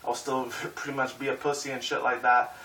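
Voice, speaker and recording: A man's voice: medium pitch, level tone, fairly quick, pushed and bright. A young man in his twenties who rambles through a casual vlog, swearing a lot and leaning on fillers. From Puerto Rican and Mexican heritage. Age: 20s. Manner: rambling, profane, filler-heavy. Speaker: YouTuber. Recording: roomy echo, mic at a distance, faint hiss.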